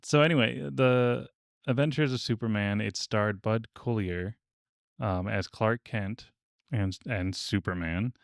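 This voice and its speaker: A man's voice: on the low side, unhurried, vocal fry, no room sound, clean studio sound. A man hosting a casual podcast discussion, explaining things in a hesitant way with asides. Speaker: podcast host. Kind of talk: casual discussion with research notes.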